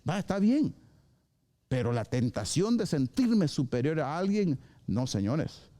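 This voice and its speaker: High, mellow-voiced man preaching a lively sermon. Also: measured pace. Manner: lively, acts out short dialogues and slips into English phrases. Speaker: pastor. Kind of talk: live sermon. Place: church service.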